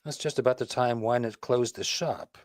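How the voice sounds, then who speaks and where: A man's voice: mid-range voice, moderate pace, no echo, studio quality, lightly acted. A man playing a witty, playful detective and teasing husband in a vintage-style radio play, with wry theatrical delivery. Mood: inquisitive.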